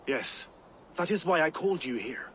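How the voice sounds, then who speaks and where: An actor playing a hot-tempered, vengeful Viking warlord in a video game, with archaic, grandiose speech. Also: male voice, fairly high pitch, very animated, moderate pace, a bit bright, slight background noise. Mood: brooding. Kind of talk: dramatic game cutscene acting. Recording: music behind the voice.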